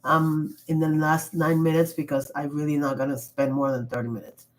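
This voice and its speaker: Woman, sick and losing her voice, slightly low pitch, casual livestream talk, emphatic and rambling. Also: flat delivery, normal speed, poor audio. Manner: rambling, emphatic, restarts often. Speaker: livestream host. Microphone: computer mic.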